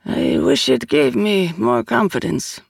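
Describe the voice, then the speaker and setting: A man's voice: sits high, big pitch swings, normal speed, gravelly, slightly rough, no echo, clean studio sound, full character voice. A man voicing a senior church official in an audiobook, courteous but with an impatient edge. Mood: impatient, polite. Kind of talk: audiobook character dialogue.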